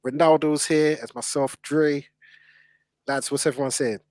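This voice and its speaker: A man's voice: fairly high pitch, steady tone, measured pace, darkish tone, no room sound. A man hosting a casual football discussion in informal, slangy speech. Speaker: podcast host.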